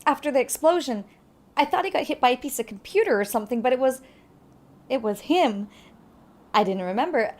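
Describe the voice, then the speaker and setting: Female secretary and trauma survivor, higher voice, hesitant, apologetic, easily flustered, naturalistic audio drama. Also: animated, brisk, pauses often. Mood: alarmed, flustered.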